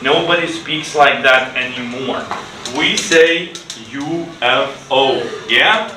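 A middle-aged man teaching an English class to children in simple, deliberate phrases, with repeated words and short instructions. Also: medium pitch. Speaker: ESL teacher. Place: indoor school classroom. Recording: roomy, noisy classroom, distant mic.

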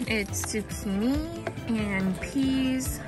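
A woman's voice: a little high, drawn-out words, over music. A woman in her thirties chatting casually in an upbeat way while vlogging outdoors on a homestead, filmed on a handheld phone. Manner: chatty, upbeat, laughs often. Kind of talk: casual vlog chat. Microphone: handheld phone camera.

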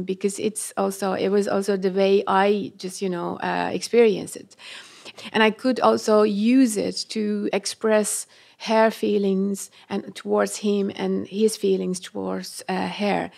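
A woman whose first language is Persian speaks in careful, earnest phrases, often pausing to find the right word. Her voice carries a gravelly edge at a middle pitch. She is a guest talking about her film on a live panel. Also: a little mellow. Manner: searching for words, earnest. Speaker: filmmaker guest.

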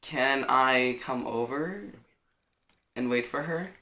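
Young man, forceful bright tone, mid pitch, loose casual chat. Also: slow pace. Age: teenager or young adult. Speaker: prank caller in a challenge video. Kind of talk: casual chat and prank phone calls. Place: indoors in a living room on a couch. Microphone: camera a short distance away.

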